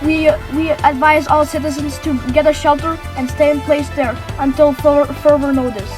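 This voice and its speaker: A woman improvising a role-play newscast as an amateur anchor, with a halting, hesitant delivery. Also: sits high, flat delivery, over music.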